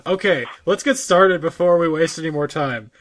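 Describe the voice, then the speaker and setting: A man with a high-pitched voice co-hosts a casual gaming podcast. He talks haltingly, thinking out loud and repeating words as he works toward his point. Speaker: podcast co-host.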